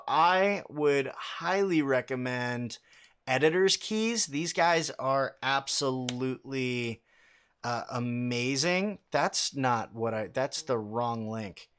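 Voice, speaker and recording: A young man with a low voice and plenty of bass in it, talking casually to his livestream chat. He is relaxed and conversational, restarting sentences and dropping "um" and "like" as he thinks aloud. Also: clear, resonant tone. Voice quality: fairly low voice with a lot of bass. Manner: casual and halting, many fillers and restarts. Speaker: livestream host answering chat questions.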